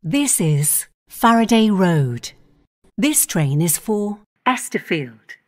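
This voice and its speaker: Woman, onboard train announcer; slow, even delivery with a lively rise and fall, station-by-station phrasing. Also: mid-range voice. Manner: measured, clipped station-by-station phrasing.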